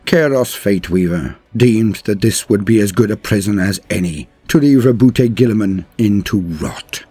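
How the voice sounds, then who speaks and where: A man's voice: on the low side, dark tone, breathy edge, no echo. A man giving a dramatic scripted narration of grim fantasy war lore, with a solemn, theatrical and emphatic delivery.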